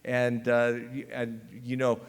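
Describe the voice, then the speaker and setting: A man's voice: medium pitch, completely flat delivery, measured pace, clear, resonant tone. An American man presenting an award in a heartfelt tribute speech. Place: large banquet hall, speaking at a podium. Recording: long hall reverb from PA.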